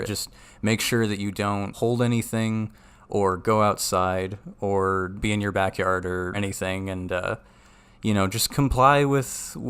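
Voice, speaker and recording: Low, smooth-voiced sarcastic man. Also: fairly bright tone. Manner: dry and sarcastic. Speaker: podcast co-host. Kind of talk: casual political podcast talk.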